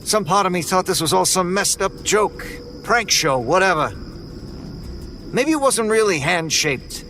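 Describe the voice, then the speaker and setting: A man telling a first-person horror story in a tense, measured way. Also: high-pitched, animated, bright, forceful tone, a little hiss or hum. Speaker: narrator.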